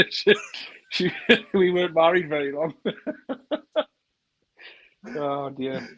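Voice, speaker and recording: A man chatting casually on a live call, easygoing and quick to laugh. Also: a little high, dull audio.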